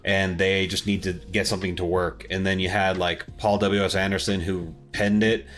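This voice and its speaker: A man's voice: deep voice, flat delivery, moderate pace, a bit bright. A young man co-hosting a casual podcast, rambling and restarting his sentences as he searches for words. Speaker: podcast co-host. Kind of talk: casual chat.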